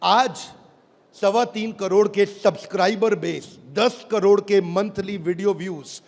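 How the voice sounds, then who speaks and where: High-pitched man hyping up a crowd. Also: measured pace, vocal fry. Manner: emphatic, hyped, crowd-rousing with call-and-response. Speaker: motivational business speaker launching an app. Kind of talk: energetic stage keynote. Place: large auditorium with a live audience. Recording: reverberant hall, crowd and noise.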